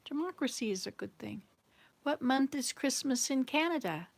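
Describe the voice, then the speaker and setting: A woman's voice: high voice, lively intonation, normal speed, vocal fry. A woman with a Southern US accent chatting casually and answering viewer comments in a rambling way. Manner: rambling, jumps between thoughts. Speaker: livestream host.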